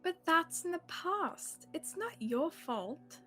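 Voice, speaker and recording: Woman acting sincere consoling young woman, high-pitched, gently insistent, amateur radio drama over quiet music. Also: very animated, takes it slowly, heavily acted. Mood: concerned. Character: earnest, consoling young woman helping a sheriff. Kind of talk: student radio-theatre acting.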